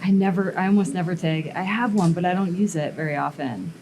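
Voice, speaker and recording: A woman's voice: lowish voice, brisk, darkish tone. Woman talking through a live metalwork demo. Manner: casual, explanatory, thinks aloud with fillers and restarts. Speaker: blacksmith and sculptor giving a live demo. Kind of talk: live workshop demonstration to an audience. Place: metal workshop at a smithing event.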